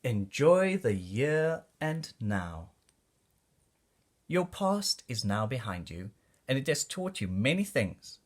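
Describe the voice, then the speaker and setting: A man reading a rhyming children's storybook aloud in an expressive, deliberate way for young listeners. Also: mid-range voice. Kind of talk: read-aloud storybook narration of rhyming verse.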